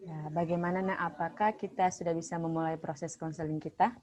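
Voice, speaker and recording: Calm low-voiced woman counselor. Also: brisk. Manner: calm, gently probing. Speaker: counselor in a role-play. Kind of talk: scripted counseling role-play. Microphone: online call. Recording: dull lo-fi online call with faint noise.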